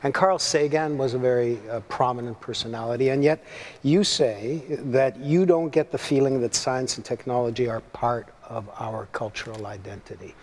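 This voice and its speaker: A man's voice: mid-range voice, normal speed, darkish tone. A man hosting an onstage interview, asking questions in a chatty, friendly way. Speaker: host interviewing a speaker. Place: conference stage. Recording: long hall reverb, faint audience.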